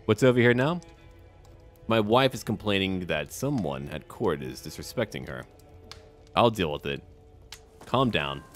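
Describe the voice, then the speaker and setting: A man giving roleplay commentary on a strategy game in short, measured phrases, as if murmuring to himself. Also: low voice, fairly bright tone. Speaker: gaming video host.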